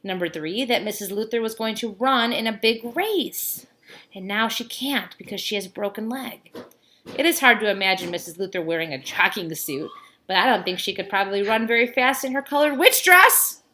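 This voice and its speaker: A woman reading a children's story aloud like a teacher, with expressive emphasis on key words and casual asides. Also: mid-range voice, moderate pace, a little hiss or hum.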